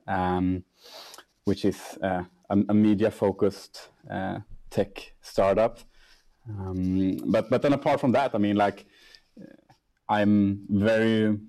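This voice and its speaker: Young guy talking about his work, lowish voice, keeps restarting his sentences. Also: mellow. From Sweden. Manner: halting, many restarts and fillers. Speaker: startup CEO, interviewee. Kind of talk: casual interview answers.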